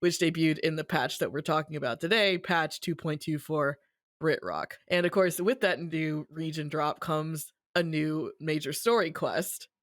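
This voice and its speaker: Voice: mid-range voice, a little slow, a bit bright, dry recording, studio quality. A podcast co-host giving a casual, colloquial story recap peppered with swearing.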